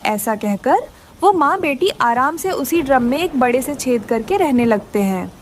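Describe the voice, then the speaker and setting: Female narrator, high pitch, scripted children's storytelling, faint background noise. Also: lively intonation, unhurried.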